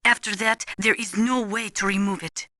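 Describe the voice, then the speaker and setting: A woman playing an earnest nuclear weapons analyst in a video game, explaining things in a measured, precise, didactic way. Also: medium pitch, fairly even intonation, bright, forceful tone, no room sound, studio quality, close to an everyday voice. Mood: measured, informative. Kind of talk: scripted expository video game voice acting.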